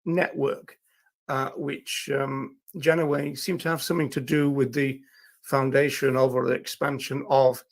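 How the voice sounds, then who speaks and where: A man hosting a livestream who talks in a rambling way, full of fillers and restarts, with a croaky voice today. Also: mid-range voice, level tone, a little slow. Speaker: livestream host. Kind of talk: casual livestream talk.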